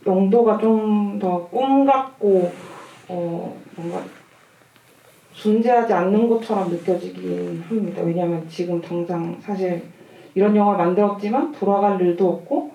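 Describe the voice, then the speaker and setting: A woman's voice: mid-range voice, normal speed, vocal fry, mellow. A woman film director answering audience questions at a screening, speaking reflectively and thinking aloud as she goes. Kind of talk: audience Q&A talk. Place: cinema hall at a festival Q&A. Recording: roomy, distant, muffled camera audio.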